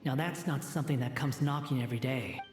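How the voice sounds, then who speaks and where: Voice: medium pitch, quick pace, lightly acted. A performer playing a confident, supportive battle companion in a fantasy game. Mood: wry. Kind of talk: game voice acting. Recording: loud music and battle effects behind.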